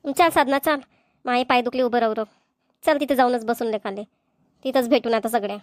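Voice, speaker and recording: A woman's voice: a little high, a little fast, no echo, studio quality, natural voice. A woman voicing a gossipy, impatient village housewife in a cartoon comedy, with a Vidarbha Marathi accent.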